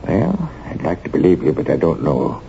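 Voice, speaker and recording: An actor playing a kindly, plain-spoken American prison guard with a folksy, informal manner in a 1970s radio drama. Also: male voice, very deep voice, wildly swooping pitch, moderate pace, gravelly, mellow, heavily acted. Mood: doubtful, sympathetic. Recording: dull, noisy vintage radio recording.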